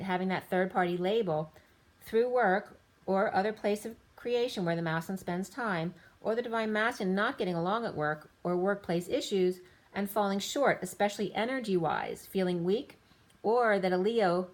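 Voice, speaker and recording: A woman giving a rambling tarot reading, listing cards in loose fragments, her voice going a little hoarse. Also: mid-range voice. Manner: rambling, lists card after card in loose fragments. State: slightly losing her voice. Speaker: tarot reader.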